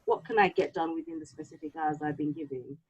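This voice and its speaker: A woman whose home tongue is Yoruba, chatting casually and reflectively, halting now and then as she thinks aloud. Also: low voice, darkish tone, husky. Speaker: podcast co-host. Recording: slightly dull, lo-fi sound.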